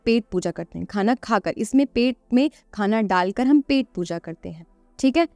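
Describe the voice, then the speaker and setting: A woman's voice: a little high, darkish tone, dry recording. A woman guest on a podcast, explaining earnestly and conversationally, repeating phrases for emphasis and posing rhetorical questions.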